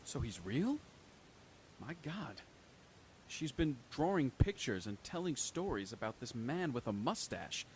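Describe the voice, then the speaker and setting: An actor playing a skeptical man doctor who speaks in a measured, doubtful way. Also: mid-range voice, big pitch swings, a little mellow, a little hiss or hum.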